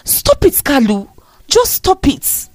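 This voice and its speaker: A woman storyteller plays a distraught bride in a folktale. Her voice leaps high and swings widely as she firmly, indignantly defends herself. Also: huge pitch swings, slow, a little mellow, no room sound, exaggerated voice. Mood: indignant, tearful. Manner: firm, pleading, emphatic.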